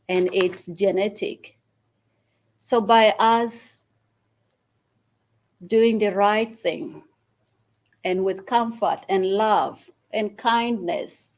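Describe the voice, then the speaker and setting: A woman from Uganda, the founder of a small charity, gives an earnest speech at an event and makes an appeal with long pauses. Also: medium pitch. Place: event hall at a university.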